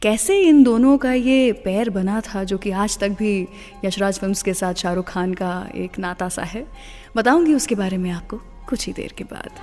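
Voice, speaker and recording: A woman's voice: mid-range voice, moderate pace, mellow. An Indian woman hosting a radio show, telling film trivia in a conversational storytelling way and slipping between two languages. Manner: switches between Hindi and English. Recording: long reverb with music underneath. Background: music.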